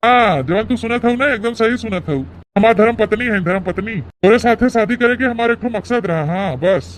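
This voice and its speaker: A man's voice: high voice, brisk, background noise, lightly acted. A man voicing a smug, callous old husband in a comic cartoon, blunt and boastful, with an Awadhi accent. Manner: blunt, boastful, emphatic repetition. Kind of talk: comic cartoon family drama.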